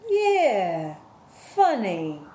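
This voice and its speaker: A woman's voice: medium pitch, wildly swooping pitch, very slow, mellow, full character voice. A woman playing a cool, wary, sultry gang member in a noir radio comedy. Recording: roomy, faint background noise.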